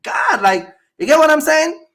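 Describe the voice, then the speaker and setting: A man's voice: extremely high pitch, animated, normal speed, a bit bright. A man from Jamaica with a Jamaican accent, hosting a casual podcast chat and making his points in a blunt, emphatic, repetitive way. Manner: emphatic, blunt, repeats himself. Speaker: channel host.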